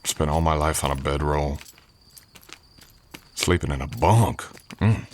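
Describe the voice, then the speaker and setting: A man playing a polite drifter cowboy hired on an Old West ranch, talking in an unhurried folksy drawl in an ASMR roleplay. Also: a bass voice, darkish tone, slight background noise. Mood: modest, good-natured. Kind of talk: ASMR roleplay acting, addressing the listener.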